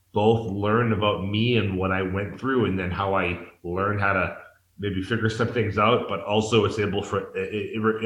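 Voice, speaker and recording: A man's voice: lowish voice, a bit bright. Earnest forties man in an echoey room. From Massachusetts, United States. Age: 40s. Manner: earnest, searching, with frequent restarts and fillers. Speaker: author and interview guest. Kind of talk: candid personal storytelling in an interview. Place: a room in Mexico.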